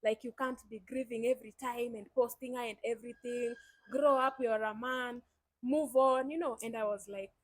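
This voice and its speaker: Here is a young Kenyan woman who hosts her own channel in a chatty, upbeat way. Her voice sits a little high and sounds dry and close, and she talks straight to her viewers. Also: fairly even intonation, moderate pace, no echo. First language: Swahili. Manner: chatty, enthusiastic, addresses viewers directly. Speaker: YouTube host. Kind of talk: casual chat.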